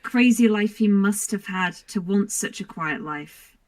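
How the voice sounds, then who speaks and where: A woman's voice: medium pitch, measured pace. A woman chatting casually as a guest on a podcast stream. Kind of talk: casual chat.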